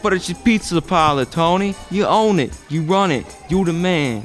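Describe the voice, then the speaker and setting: An actor playing a familiar, deferential pizzeria underling to a mobster in a comedic audio drama. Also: male voice, high-pitched, lively intonation, moderate pace, faint music behind. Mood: friendly, reassuring. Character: loyal, eager male pizzeria underling to a mobster.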